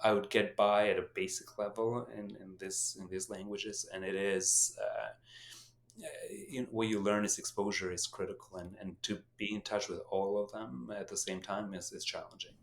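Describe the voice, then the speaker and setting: A man's voice: on the low side, little pitch movement, moderate pace, gravelly. A middle-aged man whose first language is Albanian, animatedly telling stories in a casual podcast chat with restarts and chuckles. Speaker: podcast host and company founder.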